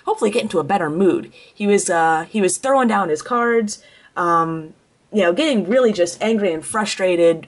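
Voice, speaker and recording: Congested woman with a head cold. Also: fairly low pitch. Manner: chatty, many ums and self-corrections. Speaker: card game player recapping a tournament. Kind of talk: casual spoken tournament report.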